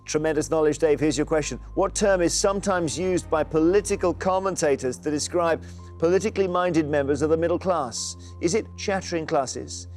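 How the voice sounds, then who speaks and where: Brief: male quiz host, fairly high voice, light playful banter, faint music behind. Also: fairly quick, a little mellow. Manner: playful, chuckling banter with contestants. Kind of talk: TV quiz hosting, scripted links and banter.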